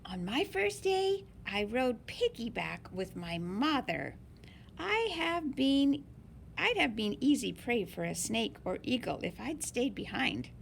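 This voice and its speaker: A woman reading a picture book aloud in an expressive, deliberate way for young listeners. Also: fairly high pitch, creaky, a little hiss or hum. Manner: slow, deliberate, animated phrasing for young listeners. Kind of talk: expressive children's read-aloud.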